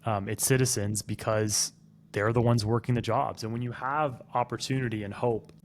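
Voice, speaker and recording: A man's voice: mid-range voice, fairly even intonation, moderate pace, a little hiss or hum. Opinionated man co-hosting a podcast. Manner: opinionated, explains at length. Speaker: podcast co-host. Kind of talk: casual podcast chat.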